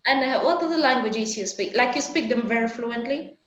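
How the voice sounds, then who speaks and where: A woman's voice: fairly high pitch, a little fast, echoey room, highs cut off. A woman from Africa hosting an interview, chatty and enthusiastic in casual conversation. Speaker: interview host.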